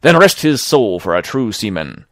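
Male reader doing a hoarse honest sailor, shaky and defiant, lively, dry. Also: medium pitch, moderate pace, no room sound, voice pushed up. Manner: raised, pleading then defiant.

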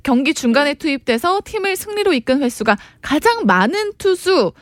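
A woman's voice: sits high, very animated, normal speed, dry recording. A woman co-hosting a radio show as announcer, friendly and poised, reading a quiz from the studio.

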